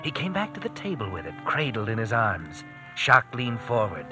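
A man's voice: medium pitch, very animated, moderate pace. Male radio crime narrator over music. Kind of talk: hard-boiled scripted radio drama narration. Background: dramatic music.

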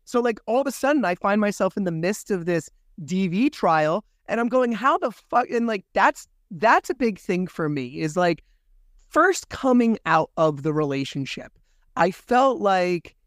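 Raspy-voiced man hosting a podcast. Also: high voice, measured pace, dry recording, clean studio sound. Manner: candid and animated, swears freely. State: under the weather, raspy voice. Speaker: podcast host. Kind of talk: casual podcast chat.

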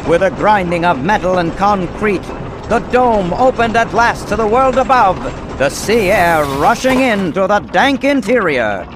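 High-voiced dramatic radio serial narrator. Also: male voice, lively intonation, measured pace, background noise. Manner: grandiose, dramatic storytelling. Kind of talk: old-time radio scripted narration.

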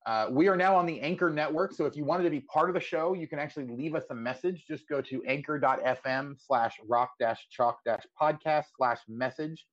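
A man's voice: a little high, a little fast, hardly any pauses. A man hosting a sports podcast, talking casually in an interview. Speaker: podcast host. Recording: slightly dull, lo-fi audio.

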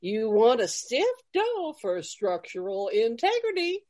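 A folksy lady host of an old-fashioned radio cooking show. Her voice sits high and swoops up and down in fussy, cheerful exclamations. She takes her time over every word. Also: big pitch swings, darkish tone. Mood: chirpy, instructive. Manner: exaggerated folksy exclaiming, drawn-out delivery.

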